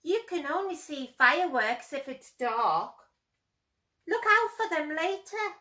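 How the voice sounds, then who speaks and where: An excitable little boy from a storybook, put on by a woman. He sounds friendly and eager, with exclamations in a high voice. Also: slow pace, heavily acted. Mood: excited.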